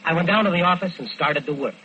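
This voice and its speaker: An actor playing a smooth-talking American killer who tells his own crime in vintage radio drama style. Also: on the high side, fast talker, close to an everyday voice. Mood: tense, confessional. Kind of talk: 1940s-50s radio crime drama acting. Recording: dull lo-fi old radio recording.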